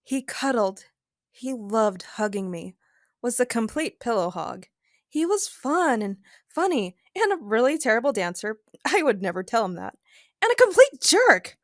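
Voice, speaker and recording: Give the audio drama a frank, sardonic space heroine, played by a woman. Her voice sits a little high and swings widely as she confides her worries. The sound is dry and intimate. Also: big pitch swings, a little slow, no echo. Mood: exasperated, fond. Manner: candid, sardonic, emphatic. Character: frank, feisty, worried woman, a galactic adventurer. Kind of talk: first-person narration and dialogue in an audio drama.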